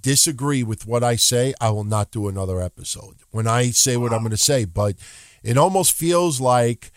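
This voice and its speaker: A man's voice: mid-range voice, moderate pace, no echo, clean studio sound. Swearing, ranting podcast host man. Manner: profane, ranting, animated. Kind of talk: casual wrestling podcast chat.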